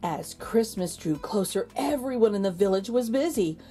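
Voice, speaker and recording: A woman with a mellow voice reads a children's story aloud. Her delivery is expressive and deliberate, in a medium pitch. Soft music plays behind her. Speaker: children's read-aloud host. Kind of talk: storybook narration.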